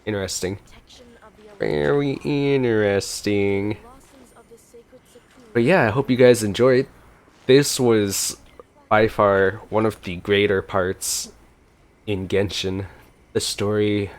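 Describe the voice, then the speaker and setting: A man giving casual gameplay reaction commentary as a streamer, informal and exclamatory, easily impressed. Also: lowish voice. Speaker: gaming streamer.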